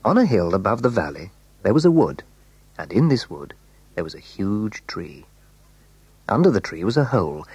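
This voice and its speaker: A man narrating a scripted children's story in a measured, dramatic way, letting phrase endings fall hushed. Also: low voice, husky, dry recording.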